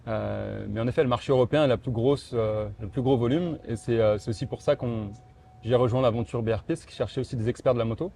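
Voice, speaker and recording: A man's voice: mid-range voice, quick pace, vocal fry, mellow, slight background noise. A man representing a motorcycle brand, answering interview questions in a casual, hesitant way, with many fillers and restarts.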